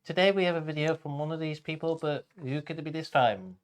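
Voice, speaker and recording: A man co-hosting a live show in a casual, halting way, repeating and restarting his words. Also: on the high side, background noise. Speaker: show co-host.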